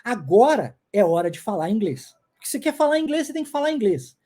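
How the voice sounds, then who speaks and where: Middle-aged english teacher guy, grew up in the us, english his first language, fairly high mellow voice, keeps checking in with viewers. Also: very animated, fast. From United States. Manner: coaching viewers, checking in often. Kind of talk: live online lesson.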